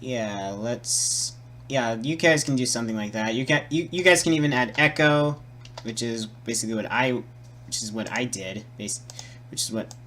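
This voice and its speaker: A man presenting a casual, improvised software tutorial, muttering and repeating himself as he works. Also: medium pitch, moderate pace, a little hiss or hum. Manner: hesitant, muttering, repeating words while working.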